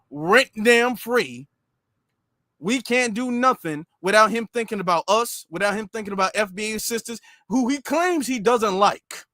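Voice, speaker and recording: A man from the United States hosting a commentary monologue, sarcastic and mocking, stammering and repeating words as he swings between loud and quiet. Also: high-pitched, no room sound, studio quality. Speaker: commentary channel host.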